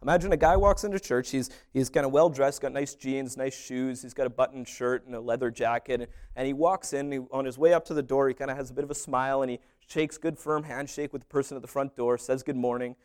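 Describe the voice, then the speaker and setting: A man preaching a sermon as pastor, animated, with humorous asides and little acted-out dialogues. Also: medium pitch, quick pace, few pauses.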